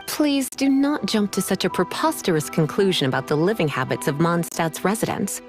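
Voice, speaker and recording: Woman as a lively, poised sorceress librarian. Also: on the low side, moderate pace, music in the background, natural voice. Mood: composed, analytical. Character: poised, teasing adult sorceress librarian, confident and unhurried. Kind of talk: video game voice acting.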